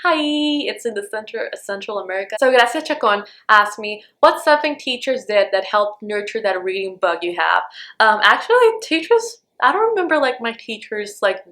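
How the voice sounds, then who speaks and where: A woman's voice: medium pitch, fairly bright tone. A young woman from Honduras, a native Spanish speaker, answering viewer questions in a casual book vlog, chatty with lots of "like" and "um". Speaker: book vlogger.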